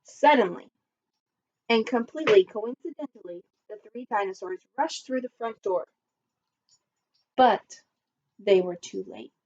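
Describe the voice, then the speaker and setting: A woman teaching young children in a storytime lesson. Her voice sits fairly high, with expressive delivery and simple, instructive phrasing. The home recording sounds slightly muffled. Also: a little slow, pauses often. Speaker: primary school teacher. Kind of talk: children's read-aloud and lesson.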